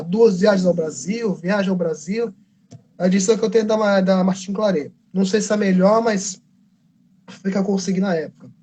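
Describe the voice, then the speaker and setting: Brazilian male artist, higher-pitched, warm and breathy, rambling livestream storyteller. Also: slight background noise, poor audio. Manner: rambling, self-correcting storyteller. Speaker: comic book artist. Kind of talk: casual livestream talk. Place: convention livestream.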